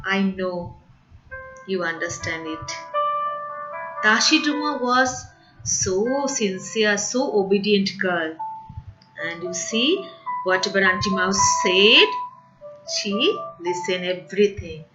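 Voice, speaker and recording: A woman's voice: medium pitch, animated, takes it slowly, long pauses. A woman telling a folk tale to children in a dramatic way, repeating phrases and addressing her listeners directly. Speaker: children's storyteller. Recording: dull lo-fi audio with music behind. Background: music.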